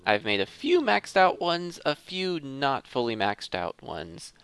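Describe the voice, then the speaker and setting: A man's voice: mid-range voice, wildly swooping pitch, measured pace, a bit bright, smooth, no room sound. A man giving casual, conversational gameplay commentary as a gaming host, thinking aloud as he plays.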